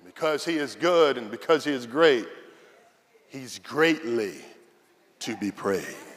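A man in his thirties preaching an animated, fervent sermon as a pastor, repeating phrases rhythmically for emphasis. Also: medium pitch, slow pace. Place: large church auditorium stage. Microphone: handheld microphone. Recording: noisy large hall with long reverb.

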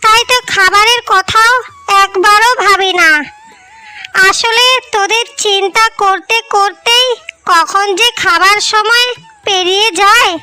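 A woman's voice: extremely high pitch, fairly even intonation, measured pace, pushed and bright, full character voice. A woman voicing an anxious, plaintive ageing village mother in a cartoon. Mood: apologetic. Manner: plaintive, worrying. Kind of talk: cartoon dialogue.

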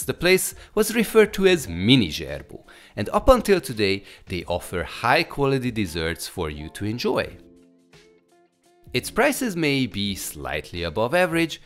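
A man's voice: medium pitch, a bit bright. A man with a Hungarian accent reading a scripted travel guide voice-over. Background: music.

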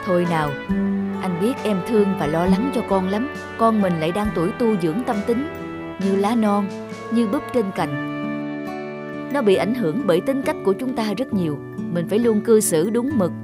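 A gentle cartoon father. He explains right and wrong patiently, with music clearly audible behind his voice. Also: sits high, expressive, moderate pace. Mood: consoling. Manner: patient, instructive. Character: gentle, reasoning father of a small boy. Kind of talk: cartoon dubbing.

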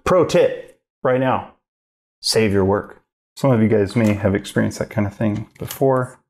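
Guy with a low gravelly voice rambling through a drawing demo in his home studio. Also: a little slow, slight background noise. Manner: casual, rambling, thinks aloud while working. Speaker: art instructor. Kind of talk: live drawing demo narration.

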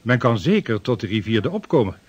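An actor playing an earnest, ambitious veteran explorer in a Dutch radio drama, formal, respectful and persuasive. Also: male voice, medium pitch, big pitch swings, a little fast, breathy edge, muffled recording.

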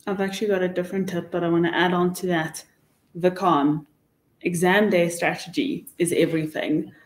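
A woman's voice: fairly low pitch, fairly even intonation, moderate pace. A young woman with a South African accent giving candid, emphatic advice as a panelist. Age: young adult. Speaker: webinar panelist. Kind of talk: panel discussion, casual advice. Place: indoor meeting room. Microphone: table microphone. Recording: roomy, echoey meeting room.

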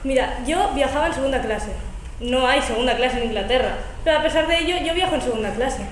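A woman's voice: high-pitched, quick pace, slight background noise, lightly acted. A teenage girl from Spain, playing a formal, overly polite lady who keeps exclaiming in surprise. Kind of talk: amateur school stage acting. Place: large school hall stage. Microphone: far camera. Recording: echoey hall from far off.

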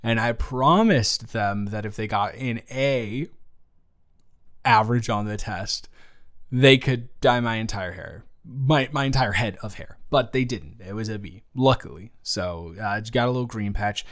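A man playing a flustered, caring young best friend in an improvised romantic audio roleplay, talking haltingly and teasingly. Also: lowish voice, natural voice. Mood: sheepish, playful. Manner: hesitant, halting, conversational, teasing.

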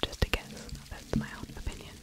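A middle-aged woman narrating true crime ASMR in a breathy whisper, close to the mic, with halting conversational asides. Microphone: close-up desktop microphone with fluffy windscreen.

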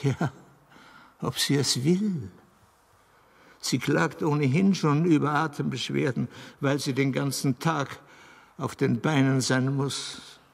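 An actor playing the Moon as a patient, deliberate fairy-tale advisor in a radio play. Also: male voice, mid-range voice, fairly even intonation, gravelly, husky, full character voice. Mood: patient, helpful. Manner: deliberate, explanatory, with weighty pauses.

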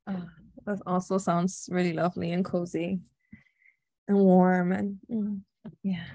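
A young woman from New York leading a casual group discussion on a video call, relaxed and full of fillers. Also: lowish voice, measured pace, no echo. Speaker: workshop facilitator. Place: home room with a slanted ceiling. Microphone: laptop webcam on a video call.

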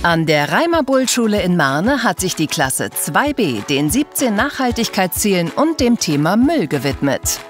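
A woman's voice: on the low side, sing-song, normal speed, few pauses. A woman reading scripted documentary narration as a narrator. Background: background music.

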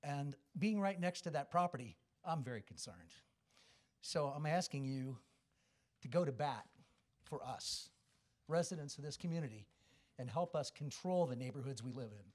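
A man makes an earnest appeal at a public meeting. He speaks as a resident, in a medium-pitched voice. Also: expressive, normal speed, darkish tone.

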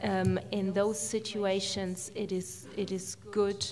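Woman panelist, slow and flat delivery, hesitant. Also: mid-range voice. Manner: hesitant, many fillers. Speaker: panelist from a development charity. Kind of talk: panel discussion answer.

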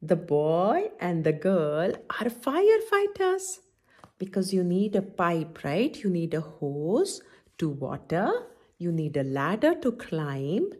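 A middle-aged woman hosting a children's story time, reading a picture book aloud in an instructive, expressive way and asking the young listeners questions. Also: fairly low pitch, takes it slowly, breathy edge. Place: indoor room at home, by a window.

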